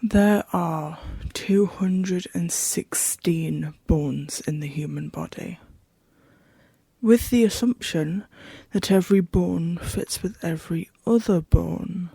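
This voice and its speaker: A horror fiction narrator speaking in a measured, musing, slightly formal and theatrical way, addressing the listener directly. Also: medium pitch, vocal fry, mellow, slightly rough, dry recording. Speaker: narrator and host of an audio horror fiction show. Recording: music behind the voice. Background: background music.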